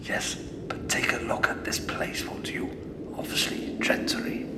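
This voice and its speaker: A man's voice: unhurried, lightly acted. A man playing a fussy, status-conscious older father in a radio play, polite but grumbling, with formal old-fashioned phrasing. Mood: hushed. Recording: heavy ambient noise behind the voice.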